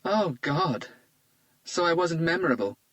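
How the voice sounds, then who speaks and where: A man voicing a playful young woman, high and bright. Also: lively intonation, a little slow, no echo. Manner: playful, teasing.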